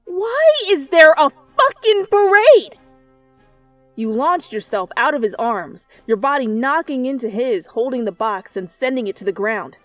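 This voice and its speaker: A woman reading fan fiction as an expressive, dramatic narrator who swears freely. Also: high-pitched, a little slow, no room sound. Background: soft music.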